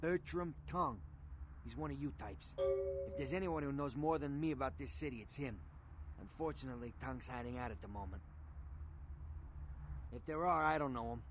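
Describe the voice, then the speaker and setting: A man's voice: mid-range voice, moderate pace, pauses often, noisy background, natural voice. A male actor playing a streetwise, shady fixer in a video game, talking in blunt tough-guy slang. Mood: confiding, matter-of-fact. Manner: gruff slangy tough-guy talk, matter-of-fact. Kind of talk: video game dialogue acting.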